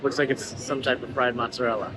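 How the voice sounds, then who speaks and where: A man's voice: mid-range voice, steady tone, quick pace. A man talking casually as he films a family travel vlog. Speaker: travel vlogger, father. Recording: noisy handheld vlog, street and ambient noise.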